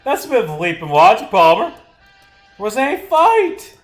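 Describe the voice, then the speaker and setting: A man's voice: high-pitched, huge pitch swings, normal speed, faint music behind, pitched above the performer's own voice. Man playing a young male game suspect, stage performer, defensive, evasive, protesting.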